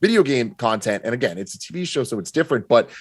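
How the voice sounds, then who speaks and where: A man speaking as a podcast guest in casual, opinionated discussion, with an informal, halting delivery. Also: medium pitch, very animated, breathy edge, no room sound, studio quality.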